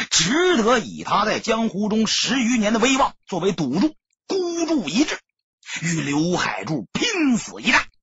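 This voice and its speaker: A man narrating an audiobook in an expressive, theatrical, dramatic storytelling style. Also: on the high side, husky, no room sound, highs cut off.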